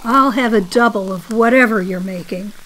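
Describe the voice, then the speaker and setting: A woman's voice: medium pitch, moderate pace, a bit bright, slight background noise, natural voice, poor audio. A woman playing an outspoken, fussy grandmother in a radio play, emphatic and bossy. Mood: dry. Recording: music behind the voice.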